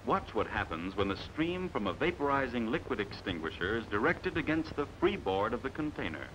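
An American man narrating a scripted 1950s training film in a measured, authoritative, emphatic manner, on a vintage soundtrack. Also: medium pitch, big pitch swings, a bit bright, slight background noise, rough recording.

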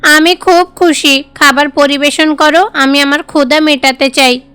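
Hungry child god for cartoon, voiced by a woman: high-pitched, dry studio sound, confident and demanding. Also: steady tone, unhurried, bright, forceful tone, no room sound. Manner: demanding, self-assured. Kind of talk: cartoon fairy-tale voice acting.

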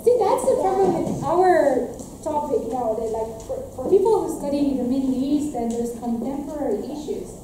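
A young woman from Romania stands at a podium answering audience questions, talking earnestly with many restarts. Her voice is dark and mellow, sitting a little high in pitch. It is caught from far off in a very echoey lecture room. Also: expressive, moderate pace, few pauses, a little hiss or hum. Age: young adult. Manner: earnest, with frequent restarts and fillers, gesturing. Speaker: graduate student presenter. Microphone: room mic, heard from a distance.

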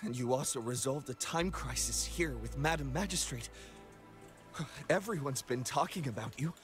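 An actor playing an injured, exhausted young explorer in an anime game, weak and breathless, desperate yet stubborn. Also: male voice, mid-range voice, expressive, moderate pace, close to an everyday voice. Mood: weak, grateful. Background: game music.

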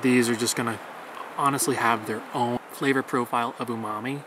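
A man in his thirties explaining foraging outdoors in a wet forest, calm and patient as he teaches. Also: medium pitch, a little fast. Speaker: forager guide. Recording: noisy outdoor forest recording.

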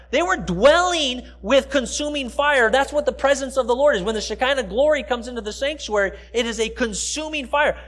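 A man's voice: very high-pitched, a bit bright. A middle-aged American man giving a sermon as a pastor, conversational and explanatory, often stepping aside to address his listeners. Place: large church sanctuary, speaking from the stage.

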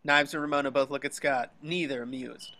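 A man's voice: mid-range voice, steady tone, a little fast, sharp tone. A man reading scripted action descriptions as narrator of a table read over a video call.

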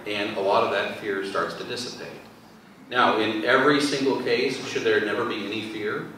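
A middle-aged deacon speaking in a measured, earnest way into a table mic on a panel. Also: male voice, on the low side, fairly even intonation, sharp tone, a little hiss or hum. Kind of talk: panel discussion. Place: large church hall. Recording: echoey hall from far off.

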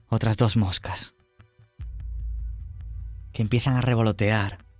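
A man's voice: on the low side, dry recording. Dramatic male game master with music. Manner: dramatic, suspenseful storytelling. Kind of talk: narrating a tabletop horror roleplaying game.

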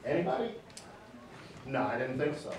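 Loud, forceful male witness, forties, off the cuff; distant camera mic, echoey hearing room. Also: medium pitch, big pitch swings, moderate pace, slight background noise. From Michigan. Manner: emphatic, gesturing, pounds the table. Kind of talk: unscripted testimony. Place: large wood-panelled hearing room. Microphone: far camera.

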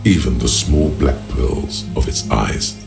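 Hushed poetic game narrator, really deep and croaky, slow and brooding, music behind. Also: male voice, lively intonation, exaggerated voice. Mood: measured, mesmerized. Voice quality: gravelly. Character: brooding, literary inner-voice narrator of a detective game. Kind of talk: scripted second-person game narration.

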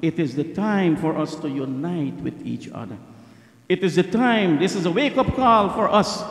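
A middle-aged man giving an impassioned Friday sermon at a mosque lectern, repeating lines for emphasis and reciting Arabic supplications quickly. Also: sits high, animated. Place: mosque prayer hall. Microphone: stand mic at a lectern. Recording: echoey hall.

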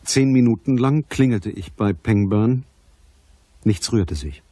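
A man's voice: on the low side, animated, slow, dark tone. An actor playing a matter-of-fact private detective who narrates his case in a radio crime play.

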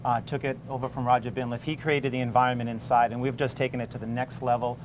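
A man in his forties talks as a chef-owner being interviewed. His pitch is average for a man. He is on a lapel mic inside his restaurant, with faint background noise. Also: level tone, fast. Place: inside a restaurant bar, dining room and kitchen.

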